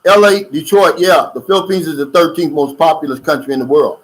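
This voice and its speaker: Middle-aged male channel host, loose chatty livestream delivery, pitch a touch high. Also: brisk, poor audio. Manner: loose, chatty, drawling with fillers and asides. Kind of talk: casual livestream chat reading viewer comments. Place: lives in the Philippines.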